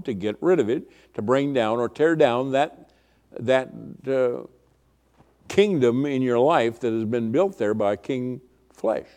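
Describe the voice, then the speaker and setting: Male Bible teacher, mid-range booming voice, emphatic and deliberate expository preaching delivery. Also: darkish tone. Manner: emphatic, deliberate, instructive, with repeated phrases. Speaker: pastor teaching a Bible study. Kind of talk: expository sermon.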